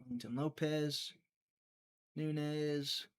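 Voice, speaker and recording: A man hosting a live prediction stream, chatting casually, thinking out loud and talking to his viewers, sometimes trailing off into a mutter. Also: on the high side, steady tone, extremely slow. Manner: chatty, thinks aloud, talks to chat.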